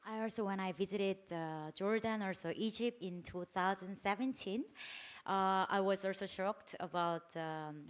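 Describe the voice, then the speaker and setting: A woman with a Korean accent, a native Korean speaker answering panel questions as a guest creator, halting and full of fillers. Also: lowish voice, clean tone.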